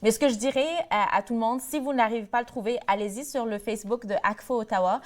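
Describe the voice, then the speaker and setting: A woman's voice: on the high side, fast. A young woman from Morocco, a board treasurer, answering questions in a TV interview, with many fillers and restarts. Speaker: guest, board treasurer of a community association. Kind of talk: TV interview, casual conversation.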